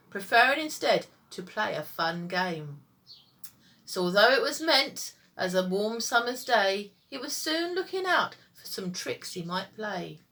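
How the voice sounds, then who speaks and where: A woman teacher reading children's stories aloud in an expressive, sing-song storytime style. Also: medium pitch, rough recording.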